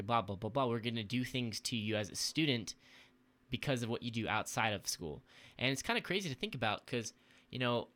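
A man's voice: medium pitch, bright, forceful tone. A young man co-hosting a podcast, chatting casually in an upbeat, joking way. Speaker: podcast co-host. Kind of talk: casual chat.

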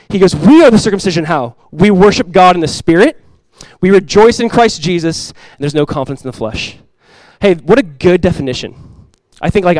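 A man with a fairly high voice preaches in an earnest, conversational way. He keeps asking his listeners rhetorical questions. The sound is dry and close to the mic. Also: a little mellow, dry recording, rough recording. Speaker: pastor. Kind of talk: expository sermon.

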